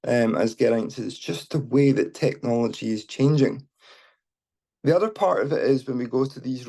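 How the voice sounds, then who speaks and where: Scottish man, informal speaker, mellow tone, on a phone line to a call. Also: mid-range voice. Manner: informal, with many fillers and restarts. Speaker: charity community team lead. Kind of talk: unscripted talk to an online meeting. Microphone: mobile phone.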